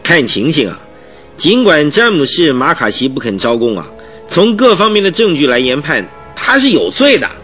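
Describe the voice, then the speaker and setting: Indignant police chief, radio drama: high, forceful voice, emphatic and stubborn, music underneath. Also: male voice, very animated, normal speed. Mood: insistent. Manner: emphatic, exclamatory, argumentative. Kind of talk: Mandarin radio drama acting.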